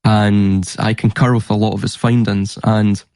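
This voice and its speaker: A man's voice: fairly low pitch, flat delivery, unhurried, darkish tone, no room sound, studio quality. A man talking in a casual podcast conversation, explaining things in an earnest way. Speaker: podcast guest and researcher.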